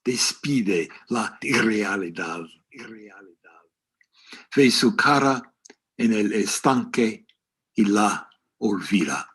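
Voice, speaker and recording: Husky guy reading a poem, really slow, mid pitch. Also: expressive, a bit bright. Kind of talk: slow bilingual poetry reading.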